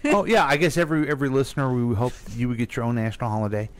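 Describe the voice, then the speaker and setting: A man's voice: mid-range voice, lively intonation, racing, clean tone. An American man co-hosting a casual radio discussion in a conversational way. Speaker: radio co-host.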